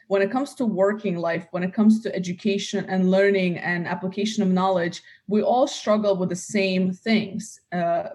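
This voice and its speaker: A woman's voice: mid-range voice, darkish tone. A woman from Russia, a company co-founder, talking casually in an interview and filling her speech with "you know" asides.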